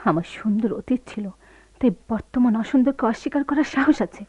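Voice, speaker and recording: A woman's voice: a little high, brisk, a little mellow, breathy edge. An actress playing an anguished, restless woman in a radio drama, with an intense theatrical delivery. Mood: bitter, hushed. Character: anguished, restless woman torn between past and present. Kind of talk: melodramatic radio drama acting. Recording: muffled old radio play recording.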